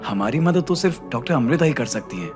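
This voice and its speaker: An actor playing a deferential, skeptical assistant in an audio drama, hesitant and doubting. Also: male voice, medium pitch, expressive, quick pace, over music, natural voice. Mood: defensive. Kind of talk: Hindi audio drama acting.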